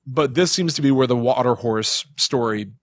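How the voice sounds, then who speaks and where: A man's voice: mid-range voice, moderate pace, no echo, clean studio sound. A man hosting a podcast, telling stories in an informal, joking, conversational way. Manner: informal, joking, uses playful quotes. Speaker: podcast host. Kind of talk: casual storytelling chat with a co-host.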